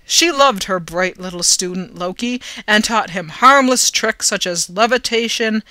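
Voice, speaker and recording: Bright, close-up theatrical storybook reader. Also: medium pitch, a little slow, no echo. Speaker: amateur reader. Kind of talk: dramatic reading of a fantasy story, narrated.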